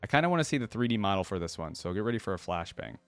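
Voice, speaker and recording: A man's voice: fairly low pitch, very animated, very fast, fairly bright tone, no echo. A man hosting a reaction video, commenting casually and informally as he thinks aloud.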